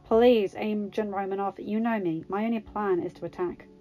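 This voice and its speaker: A woman's voice: mid-range voice, fairly even intonation, normal speed, mellow, lightly acted. A woman voicing a sarcastic, blunt and hot-headed male superhero with emphatic delivery. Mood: dry, blunt. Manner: sardonic, emphatic.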